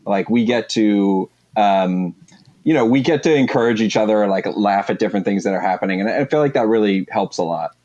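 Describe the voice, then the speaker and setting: A man with a fairly low voice speaks loosely and casually. He chats as a cast member in a group interview, padding his sentences with fillers. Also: a little fast, a little mellow, dull audio. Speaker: cast member of an audio drama.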